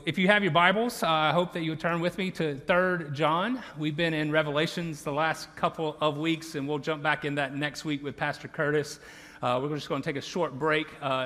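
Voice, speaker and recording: A man's voice: fairly high pitch, pushed and bright. A man in his thirties with a Southern accent from Louisiana, preaching a sermon as campus pastor in an earnest, conversational way with many restarts. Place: large church auditorium. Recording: long reverb of a large church hall.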